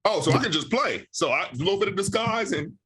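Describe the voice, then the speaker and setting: A man in his thirties hosting a podcast interview, talking in a relaxed, slangy way with lots of "you know.". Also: high voice, quick pace, breathy edge. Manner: laid-back, slangy, frequent "you know". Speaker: podcast host. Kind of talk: casual interview chat.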